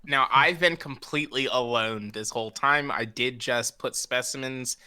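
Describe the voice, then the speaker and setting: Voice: mid-range voice, normal speed, bright, forceful tone, clean tone. A player chatting during an online game, explaining alibis in long run-on stretches. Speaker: game stream guest. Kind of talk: casual gaming chat.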